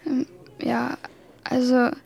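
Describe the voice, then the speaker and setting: A woman's voice: high-pitched, flat delivery, takes it slowly, vocal fry, a little mellow, slightly rough, exaggerated voice. A woman playing a timid, naive teenage girl in a radio drama, hesitant and pleading. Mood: frightened, hesitant. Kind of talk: German radio drama acting. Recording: music behind the voice. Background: music.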